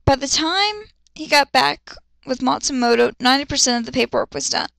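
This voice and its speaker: Female reader-commentator, blunt and sarcastic, creaky edge, close dry sound, dramatic amateur story reading. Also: high voice, lively intonation, brisk, fairly bright tone, no echo. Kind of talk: dramatic fan fiction reading with ranting asides.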